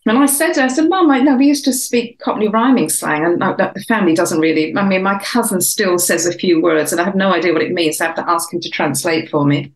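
An older English woman, an author, talking through memories in a relaxed, rambling way with many restarts, her London accent now softened. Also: fairly low pitch, fast, few pauses. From England. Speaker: author and guest. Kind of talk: casual interview chat. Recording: roomy echo.

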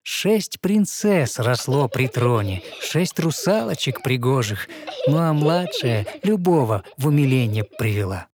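A man narrating a fairy tale in verse as scripted audio storytelling, with measured, expressive recitation. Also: mid-range voice. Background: soft music.

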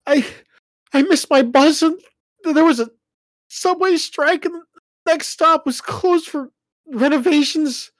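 A woman voicing a wounded young shapeshifter man, crying in pain with a halting, breaking voice. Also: a little high, lively intonation, a bit bright, breathy edge, no room sound, voice pushed up, exaggerated voice. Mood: pained, tearful.

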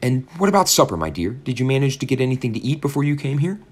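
A man's voice: medium pitch, big pitch swings, fast, husky, voice pushed up. A man voicing a fussy, overly sweet older landlady who coos and gushes at her guest. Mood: cooing. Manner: fussy, cooing and gushing.

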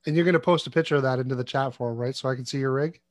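A man from Canada co-hosting a podcast, talking quickly and joking about himself. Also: medium pitch. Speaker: podcast co-host. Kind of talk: casual live-stream chat.

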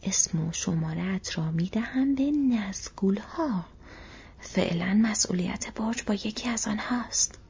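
A woman voicing a big, short-tempered male orc soldier in an audiobook, menacing and barking in a hoarse, breathy voice. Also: mid-range voice, animated, darkish tone, full character voice. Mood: hissing menace. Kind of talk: audiobook character voice. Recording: dull, muffled lo-fi audio.

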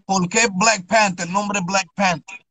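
A man hosting a podcast interview, asking slow, halting questions that restart on repeated words. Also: high-pitched, fairly even intonation, sharp tone, dry recording. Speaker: podcast host. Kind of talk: casual interview.

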